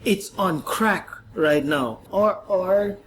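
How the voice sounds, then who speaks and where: Emphatic Jamaican-accented man, fairly high voice. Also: slow pace, fairly bright tone, a little hiss or hum. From Ghana. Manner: emphatic, repeats "yes". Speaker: guest. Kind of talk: casual chat. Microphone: radio studio mic.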